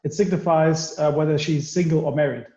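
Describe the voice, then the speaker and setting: A man hosting a podcast, chatting in an animated way and often repeating words. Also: a little high, a little fast. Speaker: podcast host. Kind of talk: conversational interview. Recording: echoey, muffled room sound.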